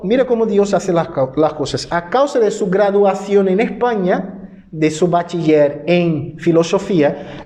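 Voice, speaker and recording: A man's voice: high voice, darkish tone. A man from Brazil, a native Portuguese speaker with a Brazilian accent, giving an informal church lecture in a didactic way with frequent checking tag questions.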